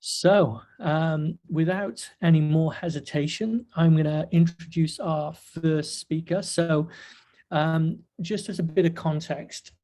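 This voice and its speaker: Hoarse male webinar host, slightly high, flat delivery, halting with fillers, dark tone. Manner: halting, many fillers and restarts. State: hoarse, nearly losing his voice. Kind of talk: casual webinar talk. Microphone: webcam video call.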